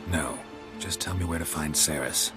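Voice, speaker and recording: An actor playing a gruff, grizzled monster hunter in a video game, speaking in short, flat lines. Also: male voice, normal speed, over music. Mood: dry. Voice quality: low, flat, terse delivery.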